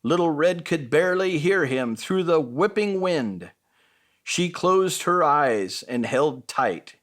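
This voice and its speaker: Slow, very expressive man reading bedtime stories. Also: fairly high pitch. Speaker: storyteller reading as Santa.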